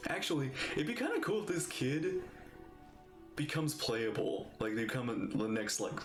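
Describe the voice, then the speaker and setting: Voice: medium pitch, sing-song, moderate pace, roomy sound. A streamer giving casual, gushing live reactions to a game, rambling with lots of "like". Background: video game music.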